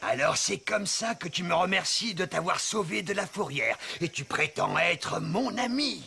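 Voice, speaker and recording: A man's voice: high-pitched, animated, normal speed, gravelly, slight background noise, exaggerated voice. An actor voicing a sly big bad wolf in a French cartoon, scheming and sneering as he draws out his threats. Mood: indignant, menacing. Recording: loud music under the voice.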